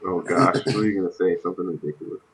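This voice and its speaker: A man's voice: deep voice, fairly even intonation, very fast, gravelly, fairly bright tone, slightly rough. A man hosting a casual gaming stream, making offhand remarks as he chats with his guests. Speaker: stream host. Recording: noisy stream audio.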